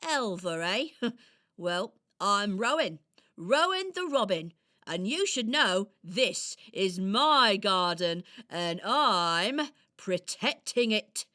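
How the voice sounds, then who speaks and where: Plucky, blustering garden robin, acted by a woman for children's radio drama: bright, loud, deliberate and brash, with a kind heart. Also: mid-range voice, sing-song, full character voice. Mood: cheeky, challenging. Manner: brash, bossy, punchy.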